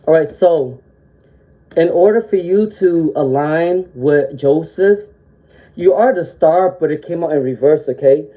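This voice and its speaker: Very dark-toned tarot reader with a cold. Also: medium pitch, unhurried. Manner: ends sentences with a checking "okay?"; frequent "um" fillers, gentle reassuring delivery. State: has a cold, sniffling. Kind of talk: live-stream tarot reading.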